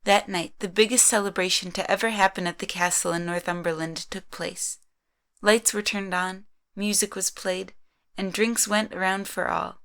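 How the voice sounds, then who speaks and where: A woman's voice: medium pitch, fairly even intonation, moderate pace, fairly bright tone. A woman narrating a scripted story in the third person.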